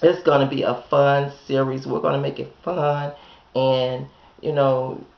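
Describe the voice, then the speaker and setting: A hoarse woman vlogger with a gravelly voice talks slowly and casually, checking in with her viewers with a frequent "okay?". Her voice sounds roomy and echoey, and the recording is a little dull and lo-fi. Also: very deep voice, little pitch movement. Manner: chatty, asks "okay?" often. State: hoarse from a chest cold. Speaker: home decorating channel host. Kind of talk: casual vlog chat.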